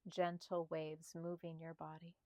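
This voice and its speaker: A woman guiding a meditation in a soft, slightly breathy voice, speaking calmly and soothingly in short, spaced phrases. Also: low-pitched, a little slow, no echo. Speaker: meditation guide. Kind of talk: guided meditation narration.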